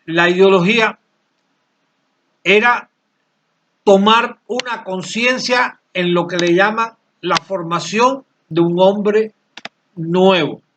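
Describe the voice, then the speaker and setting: A man in his late sixties from Cuba, answering interview questions earnestly and haltingly, often repeating words as he searches for them. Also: high voice, fairly bright tone, slight background noise. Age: 60s. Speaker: channel creator, interviewee.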